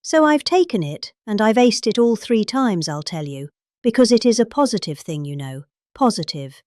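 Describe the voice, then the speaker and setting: A middle-aged woman with a British accent reads a rambling speech as if chatting. Her delivery is emphatic and theatrical, with pitch that rises and falls dramatically. The sound is dry, with hardly any room to it. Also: sing-song, moderate pace, darkish tone, dry recording. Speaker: reader.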